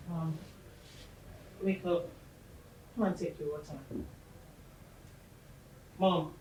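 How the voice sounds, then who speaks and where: A woman's voice: low voice, a little mellow, roomy sound, a little hiss or hum, low-quality recording. A woman acting a grief-stricken young princess in a melodramatic film, with a Nigerian accent and a pleading, wailing delivery. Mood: anxious calling.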